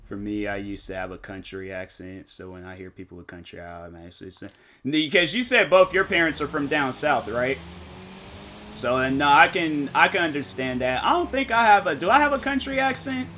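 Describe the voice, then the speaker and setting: Bright-voiced man rambling on stream. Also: medium pitch, expressive, brisk, a little hiss or hum. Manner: rambling, filler-heavy, thinking out loud. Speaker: game streamer. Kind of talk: casual live-stream chat.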